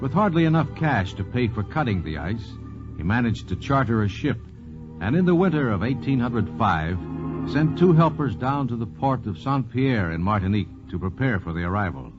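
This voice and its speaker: A man's voice: medium pitch, very animated, normal speed. A man narrating a scripted 1940s radio program as host, with polished storytelling and dramatic emphasis. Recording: muffled 1940s radio transcription. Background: music.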